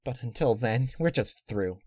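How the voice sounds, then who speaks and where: A man's voice: mid-range voice, lively intonation, moderate pace, a little mellow, breathy edge, dry recording. A man voicing a bubbly, naive young female cartoon pony who exclaims in a childlike, defiant way. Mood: indignant, hurt.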